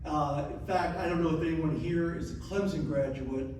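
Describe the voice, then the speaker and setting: A man's voice: a little high, steady tone, normal speed, a little mellow, a little hiss or hum. Man speaking from far across an echoey room. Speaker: group member giving an update. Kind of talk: informal meeting report. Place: meeting room. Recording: echoey room, distant mic.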